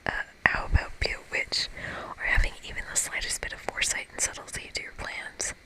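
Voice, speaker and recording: An ASMR reader voicing a dry, exasperated female coven leader from a game in a breathy whisper. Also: fairly quick, lightly acted, poor audio. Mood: exasperated, curt. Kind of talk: visual novel game dialogue read as ASMR.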